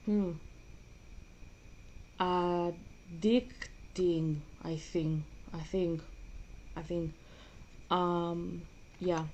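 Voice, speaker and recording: A young woman, chatting casually and rambling with many pauses and fillers while playing a game. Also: fairly low pitch, steady tone, a little mellow, highs cut off, a little hiss or hum. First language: Greek. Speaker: gaming vlogger. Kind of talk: casual gaming chat.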